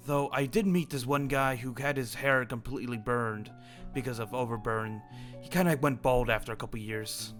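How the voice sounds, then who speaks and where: A man's voice: mid-range voice, moderate pace, fairly bright tone, faint music behind. A man improvising a school headmaster in a game roleplay, explaining things at length in a chatty way.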